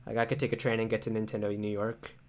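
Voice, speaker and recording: A man's voice: fairly low pitch, little pitch movement, rapid-fire, darkish tone. A man co-hosting a casual podcast, chatty and agreeable. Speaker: podcast co-host. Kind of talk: casual chat.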